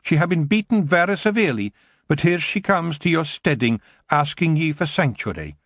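A man doing a gruff Scottish fairy matriarch. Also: fairly high pitch, animated, normal speed, fairly bright tone, no echo, studio quality, voice pushed up. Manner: blunt, gruff, dialect-heavy. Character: tiny fierce female fairy clan matriarch.

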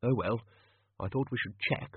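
A man voicing a blunt, blustery old wizard arch-chancellor in an audiobook, brusque, loud and emphatic. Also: medium pitch, moderate pace, husky, no echo, narrow, tinny audio, natural voice. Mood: brisk, curious.

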